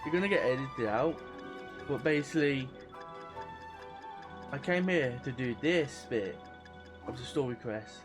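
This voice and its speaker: Animated, informal man commenting on a game. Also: medium pitch, measured pace, pauses often. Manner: rambling, informal, swearing. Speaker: game streamer. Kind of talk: casual gameplay commentary. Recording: game music behind commentary.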